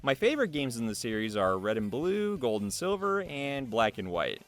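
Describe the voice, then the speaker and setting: Man with very animated, swooping intonation. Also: medium pitch, normal speed. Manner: chuckles often between lines. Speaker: host reading an artist's written interview answers. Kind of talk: upbeat scripted interview narration. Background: background music.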